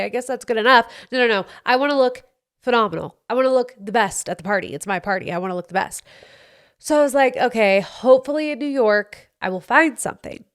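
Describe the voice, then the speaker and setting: A woman's voice: fairly high pitch, clean tone. A young woman hosting her own podcast, chatting candidly and playfully about her week, her voice a bit congested. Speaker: podcast host. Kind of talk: casual solo podcast chat. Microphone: studio mic.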